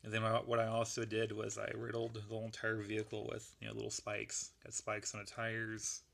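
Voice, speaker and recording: A man with a gravelly voice that is going, casually rambling through a show-and-tell of a model build. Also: on the low side, monotone, a little fast, fairly bright tone. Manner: rambling, laid-back, lots of fillers. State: losing his voice.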